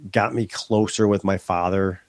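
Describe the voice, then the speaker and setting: A man's voice: on the low side, fairly even intonation, moderate pace, dry recording. A middle-aged man from Western New York, stuffed up from a head cold, chatting candidly as a podcast guest with many restarts. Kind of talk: casual interview chat.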